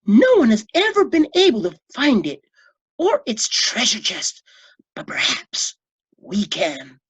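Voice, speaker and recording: A helpful storybook librarian, brisk and eager, with a high, crisp voice that a woman puts on while reading aloud. She talks with lively excitement. A breathy huskiness runs through it. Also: exaggerated voice. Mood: confiding.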